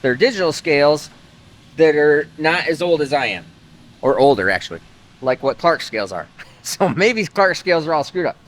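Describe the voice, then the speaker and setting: A man's voice: a little high, lively intonation, normal speed, fairly bright tone. A man hosting a car vlog, talking casually in a workshop, rambling with lots of filler words. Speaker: host of a car-building channel. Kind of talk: casual garage vlog talk.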